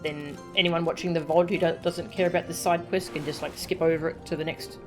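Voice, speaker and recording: A woman's voice: low voice, flat delivery, brisk, music in the background. A woman streamer chatting casually between game dialogue, with stuttering asides and trailing murmurs into a desk mic.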